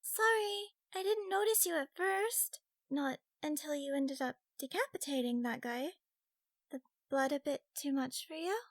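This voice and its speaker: A man's voice: extremely high pitch, measured pace, fairly bright tone, pitched above the performer's own voice, heavily acted. A man voicing a shy but feisty young fox girl gamer in a fantasy audiobook, with a nervous, stammering, cutesy delivery. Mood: sheepish.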